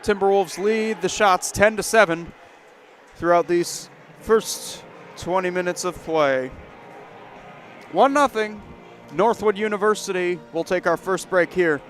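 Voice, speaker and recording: A man calling a live hockey game, quickly naming each player and pass. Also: high-pitched, fairly bright tone, faint music behind. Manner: brisk, names each pass as it happens. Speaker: play-by-play announcer.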